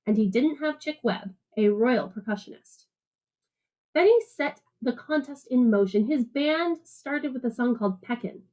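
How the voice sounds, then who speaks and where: Woman reading as high-voiced jive-talking alley cat. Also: mellow, low-quality recording. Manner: slangy, jive-talking, rhythmic, playful emphasis. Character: streetwise jazz-loving alley cat narrator, hip storyteller. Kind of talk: picture-book read-aloud narration.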